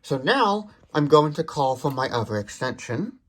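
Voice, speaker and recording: A man's voice: fairly high pitch, very animated, normal speed, fairly bright tone. A man hosting a tech demonstration, explaining details methodically. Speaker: tech demonstrator, video host.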